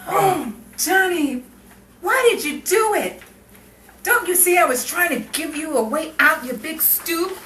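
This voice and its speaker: A woman's voice: extremely high pitch, expressive, unhurried, bright, forceful tone, full character voice. A woman playing a sardonic, world-weary gangster's girlfriend in a 1950s crime melodrama. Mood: anguished. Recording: echoey room, distant mic, clear noise.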